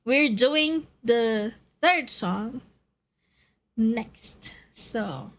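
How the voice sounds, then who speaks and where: A woman's voice: on the high side, expressive, very slow. A young woman chatting on a karaoke stream, giggly and unsure of herself between songs. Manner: chatty, giggly and self-doubting. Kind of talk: casual karaoke stream chat. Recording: added reverb with music behind.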